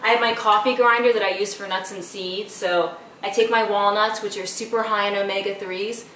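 A woman's voice: mid-range voice, fairly even intonation, a little fast, fairly bright tone. A middle-aged woman walks through a recipe in her own kitchen, talking in a chatty, instructive way with easy asides. Her voice comes from a distance off the camera mic and rings in the room, over a faint household hum. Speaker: cooking show host. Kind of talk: casual cooking demonstration. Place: home kitchen, indoors. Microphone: camera mic from across the room. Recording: roomy, distant camera audio with light background noise.

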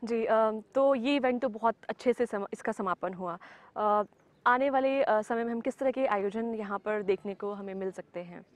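A woman works as an interviewer on location outdoors. Her voice sits fairly high, and she puts polite questions with hesitations as she goes. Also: moderate pace, no room sound. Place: open grassy field in the mountains.

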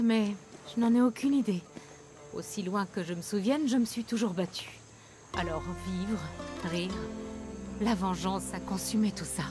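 A woman's voice: medium pitch, close to an everyday voice. An actress playing an embattled young female rebel leader in a dubbed video game, earnest and intense. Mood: melancholy.

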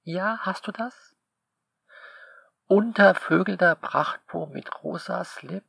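A man talks to his listeners in a slow, unhurried drawl. His voice is gravelly with fry. He sounds sarcastic and polemical, and his delivery is full of rhetorical questions, recorded dry and close with no room sound. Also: high voice, fairly bright tone, no echo. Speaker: author reading commentary on his novel. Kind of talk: spoken monologue to listeners.

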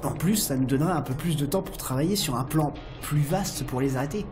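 A woman's voice: low-pitched, brisk, over music, natural voice. A woman playing a skeptical, sarcastic male rebel in an audio drama, casual and snide. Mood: grudging.

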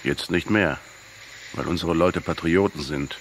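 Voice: extremely low pitch, measured pace, slight background noise. A performer in a radio play playing an old Bolivian peasant woman, pious, hostile and stubbornly accusing. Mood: bitter, pious.

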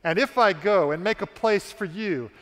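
A man in his forties preaching a sermon on a large church stage into a headset mic, slow and emphatic with dramatic pauses. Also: high voice, fairly bright tone. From American South. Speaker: pastor. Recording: long reverb of a church hall.

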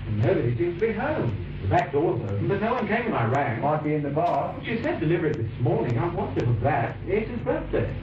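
A man's voice: a little high, very animated, fairly quick, hardly any pauses, muffled recording. An actor playing a puzzled man making a delivery in an old radio drama. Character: puzzled male delivery visitor. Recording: distant, echoey, noisy old radio recording.

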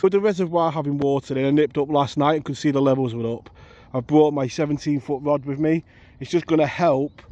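A man talking casually through a fishing session outdoors at a reservoir, explaining as he goes. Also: mid-range voice. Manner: relaxed, explanatory, chatty. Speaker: angler vlogger.

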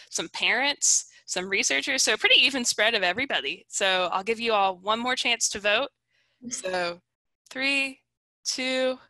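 Bright, bubbly woman hosting a webinar. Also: a little high, dry recording, poor audio. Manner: bubbly and encouraging. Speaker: webinar host. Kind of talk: upbeat webinar moderation. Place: home video call. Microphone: headset.

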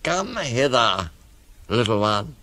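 A man voicing a sly, menacing crocodile in a storybook, with slow, drawn-out, whispery coaxing. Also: medium pitch, big pitch swings, sharp tone, dry recording, pitched above the performer's own voice, heavily acted. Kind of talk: storybook character voice.

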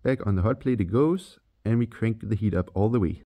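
A man's voice: lowish voice, a little fast, warm tone. A man from Denmark with a Danish accent, giving a step-by-step chemistry voice-over for his channel. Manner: plain, step-by-step instructional. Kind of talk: scripted voice-over tutorial.

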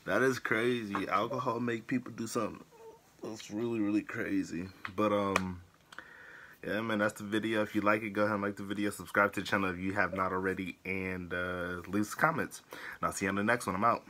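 A young American man with a deep but forceful, bright voice, stuffed up from a head cold. He chats casually to his viewers as a reaction vlogger. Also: steady tone, fairly quick. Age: 20s. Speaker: YouTube reaction host. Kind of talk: casual vlog chat and reactions. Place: small wood-panelled bedroom.

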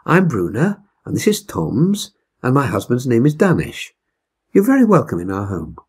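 An older man voicing a kindly mother bear from a fairy tale, gentle but firm and reassuring. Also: medium pitch, wildly swooping pitch, moderate pace, husky, full character voice. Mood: welcoming.

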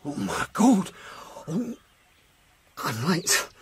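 An actor playing a flustered, alarmed horse trainer in a radio drama, agitated and demanding. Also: male voice, high-pitched, wildly swooping pitch, extremely slow, a bit bright, slight background noise, heavily acted. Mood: groggy, panicked.

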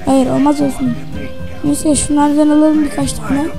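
An excitable video game commentator chatting casually for children, often repeating short phrases. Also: high-pitched, normal speed, vocal fry, music in the background. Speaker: gaming video host.

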